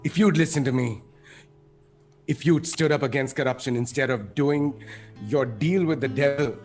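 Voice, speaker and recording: A man's voice: medium pitch, moderate pace, a little mellow, close to an everyday voice. Man playing a bitter, vengeful fallen prosecutor. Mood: accusing anger. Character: bitter, vengeful disfigured prosecutor turned villain. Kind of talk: comic table read of a film script. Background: live music.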